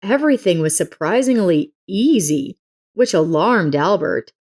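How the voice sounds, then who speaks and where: A woman with a slightly low voice narrates a scripted story. She reads as a storyteller, in full prepared sentences. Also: expressive, a little slow, a little mellow.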